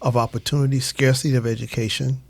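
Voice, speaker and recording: A man's voice: mid-range voice, little pitch movement, fast talker, vocal fry, no room sound. A man hosting a radio show, chatting in a casual, affirming interview style.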